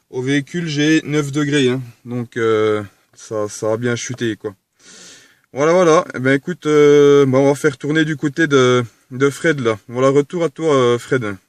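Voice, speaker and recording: A man's voice: medium pitch, no echo. Man on mobile radio, very dry sound. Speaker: mobile amateur radio operator. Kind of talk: casual two-way radio chat. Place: inside a parked vehicle. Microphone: vehicle radio microphone.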